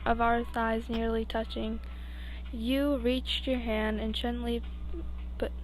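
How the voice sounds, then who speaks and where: A young woman with a fairly high voice reads fan fiction aloud as an amateur. Her delivery is slow and halting, with frequent stumbles and restarts. She slips informally between the story and her own asides. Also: little pitch movement, low-quality recording. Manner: halting, stumbling over words. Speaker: amateur podfic reader.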